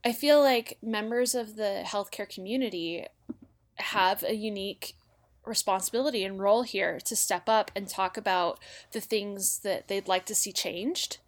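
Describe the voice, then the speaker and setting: Female podcast guest, American, mid-pitched, explains with emphasis in everyday casual language. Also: normal speed. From Utah, United States. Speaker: guest, former congressional aide.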